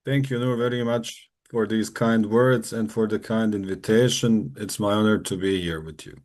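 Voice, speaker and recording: A man from Bosnia, a neurosurgeon giving a formal academic lecture over a video call, measured and pausing often with "uh". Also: fairly low pitch, steady tone, darkish tone. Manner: measured, formal, with frequent "uh" pauses. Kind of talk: academic presentation.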